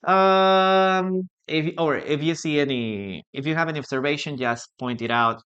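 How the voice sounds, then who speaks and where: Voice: mid-range voice, a bit bright, smooth, highs cut off. A native Spanish-speaking English teacher from Peru with a Peruvian accent, leading a live online class and patiently repeating key phrases.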